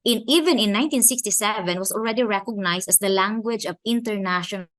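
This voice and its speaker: A woman from the Philippines with a Filipino accent, giving an academic conference talk in a measured way and slowing down to stress key phrases and questions. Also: medium pitch, a bit bright. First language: Filipino language. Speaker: conference speaker.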